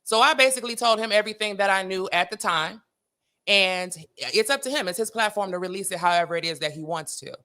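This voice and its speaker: A woman's voice: mid-range voice, fast talker, pushed and bright. A middle-aged woman from Los Angeles telling gossipy stories as an interview guest, brash, sassy and emphatic. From Los Angeles, United States. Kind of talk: gossipy storytelling.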